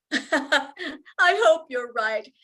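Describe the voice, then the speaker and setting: A woman's voice: high voice, very slow, fairly bright tone, full character voice. A woman playing an earnest young widowed mother in an amateur radio play, with heartfelt, theatrical delivery. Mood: hopeful.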